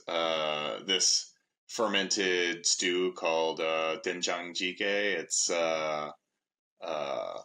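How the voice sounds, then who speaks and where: A man answering as a podcast guest in a casual, hesitant way with many filler pauses. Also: deep voice, bright, forceful tone.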